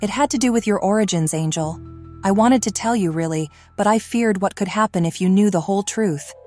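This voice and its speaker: A woman playing a regretful, fiercely protective mother in an audio drama, earnest and measured. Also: mid-range voice, warm tone, soft background music, natural voice.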